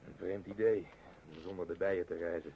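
An actor playing a gruff, practical, unbelieving traveller in a radio play, blunt but polite. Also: male voice, sits low, fairly even intonation, fairly quick. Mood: glum. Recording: muffled noisy old radio recording.

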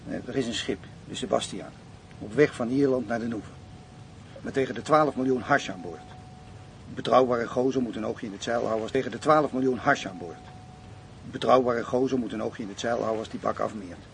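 A man's voice: mid-range voice, a little fast, creaky, close to an everyday voice. A man acting a self-assured, cynical Dutch crime boss in a radio play, offhand and slangy. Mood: businesslike. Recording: faint noise, radio play recording.

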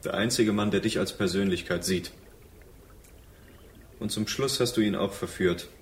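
A bitter, reproachful man played by an actor speaks in short, measured phrases in a radio drama. His voice is on the low side, over faint background noise. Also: lightly acted. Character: reproachful, bitter former lover, sober and probing. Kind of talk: German radio drama acting.

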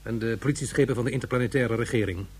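An actor in a Dutch radio drama playing a fussy subordinate official, formal and indignant, with a husky voice. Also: male voice, medium pitch, racing, a little mellow. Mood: skeptical.

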